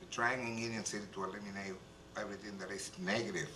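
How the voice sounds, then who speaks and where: Deep-voiced guy, formal thank-you speech, echoey hall, background noise clearly there. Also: fairly even intonation, fast talker. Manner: earnest and formal, with some restarts. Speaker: city commissioner giving a speech. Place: stage in an event hall. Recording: echoey hall with crowd noise, far from the mic.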